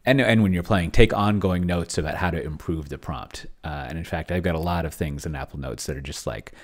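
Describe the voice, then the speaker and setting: A middle-aged man thinking aloud casually at his desk, halting and murmuring as he types and reads, close to the microphone. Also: sits low. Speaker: host of a solo roleplaying experiment stream. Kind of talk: casual thinking aloud while typing and reading from screen. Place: indoor home room. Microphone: desk microphone close to the mouth.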